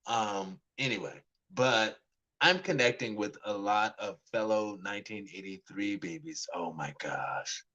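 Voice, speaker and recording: A man around forty chats in an unhurried, rambling way, often stumbling and restarting his sentences. His voice sits a little low, and he acts out both sides of the conversations he retells. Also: sharp tone, dull audio. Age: 40s. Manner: rambling, many restarts and stammered repeats. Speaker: vlogger. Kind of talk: casual monologue to camera.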